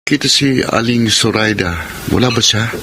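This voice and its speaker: Man acting a low-voiced Filipino suitor. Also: normal speed, noisy background, natural voice. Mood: pleased, casual. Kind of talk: Filipino radio drama acting.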